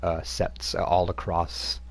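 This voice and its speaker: A man's voice: low-pitched, monotone, slow, vocal fry. A middle-aged man acting as game master, loose and chatty, full of fillers and restarts. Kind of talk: running a tabletop game over a live stream.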